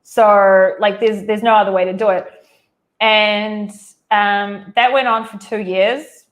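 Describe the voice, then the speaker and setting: A woman's voice: mid-range voice, steady tone, slow pace. Woman, 30s, New Zealand accent, interview guest, casual storytelling, chatty, restarts, laughs easily, video call.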